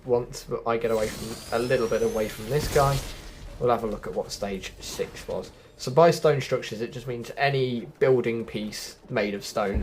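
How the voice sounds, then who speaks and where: A man's voice: medium pitch, a little mellow, slight background noise. A man giving a casual, chatty gameplay walkthrough, explaining things directly to viewers as a video host.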